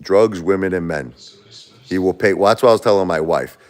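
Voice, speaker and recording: A man with a very low voice chats on his home livestream. He talks bluntly, doubles back on his words and argues with critics in the chat. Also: brisk. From Brooklyn, New York. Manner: blunt, repeats himself, spars with critics. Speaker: livestream host. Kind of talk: casual livestream chat answering viewers.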